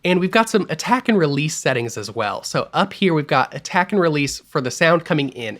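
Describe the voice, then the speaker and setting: A man at a middling pitch talks through a music software tutorial in voice-over. He sounds relaxed and explanatory, dropping mild opinions as he goes. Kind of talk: screen-recorded software tutorial. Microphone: voice-over over screen capture.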